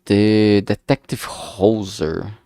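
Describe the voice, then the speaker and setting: Slow, low Brazilian-accented man thinking aloud. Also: fairly even intonation, mellow, dry recording, clean studio sound. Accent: Brazilian Portuguese. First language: Portuguese. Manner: rambling, hesitant, many fillers and restarts. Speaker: game developer streamer. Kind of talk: casual think-aloud stream commentary.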